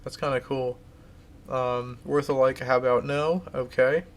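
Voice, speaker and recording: A man's voice: medium pitch, level tone, a little slow, a little hiss or hum. Man streamer chatting casually. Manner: rambling, with restarts. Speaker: live streamer. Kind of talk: casual chat reading viewer comments.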